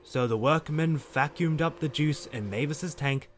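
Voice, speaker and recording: A man's voice: a little high, unhurried, very noisy. A man narrating a scripted children's story as the narrator of an audio drama.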